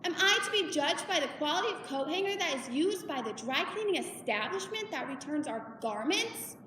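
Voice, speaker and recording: Woman playing an indignant high-voiced little girl in echoey hall. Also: expressive, fairly bright tone. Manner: argumentative, rapid-fire rhetorical questions. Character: indignant little girl pleading over a school grade. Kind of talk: theatrical stage monologue. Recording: echoey hall, far from mic, noisy.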